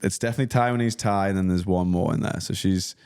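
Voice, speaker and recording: Guy with a really deep voice hosting a podcast, laid back, asking stuff. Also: quick pace, clean tone. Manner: curious, relaxed questioning. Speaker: podcast host. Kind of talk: casual interview chat.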